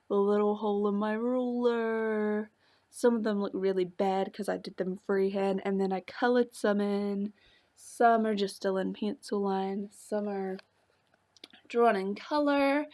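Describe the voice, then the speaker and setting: A young woman vlogger chatting casually about her journal pages, rambling with many fillers and little laughs, her voice slightly hoarse from a coming cold. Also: mid-range voice, darkish tone. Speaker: craft vlogger. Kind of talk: casual chat showing a journal.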